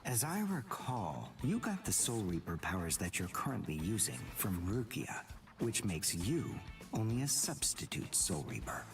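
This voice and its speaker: An actor playing a cold, arrogant teenage boy rival in an English anime game dub, speaking in a deliberate, condescending way. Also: lowish voice, sing-song, vocal fry, far from the mic, soft background music. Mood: taunting.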